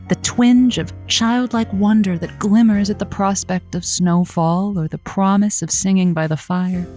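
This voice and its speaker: A woman's voice: mid-range voice, darkish tone, lightly acted. A woman playing a playful, flirty late-night radio host, theatrical and teasing, in a comedic radio drama.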